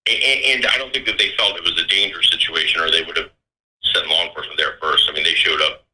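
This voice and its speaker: A man giving an informal, halting account of events, often restarting his phrases. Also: deep voice, steady tone, sharp tone, breathy edge, poor audio. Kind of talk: informal spoken account of scanner reports.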